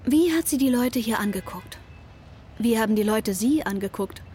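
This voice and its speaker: A woman's voice: a little high, expressive, moderate pace, darkish tone, slight background noise, lightly acted. A young woman playing an introspective daughter who narrates her own journey in a reflective, literary radio drama style.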